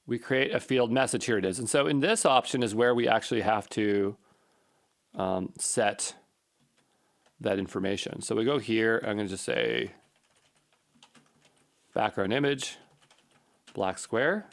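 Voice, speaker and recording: A man's voice: fairly low pitch, a little slow, creaky, pauses often. Man casually thinking aloud while coding. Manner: informal, muttering to himself while working. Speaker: coding tutorial presenter.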